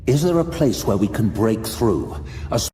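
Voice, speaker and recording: An actor playing a grim, weary but resolute human archmage in a fantasy audio drama, terse and earnest. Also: male voice, on the low side, very animated, moderate pace, warm tone, a little hiss or hum. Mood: urgent. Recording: echoing, reverberant cavern-like sound.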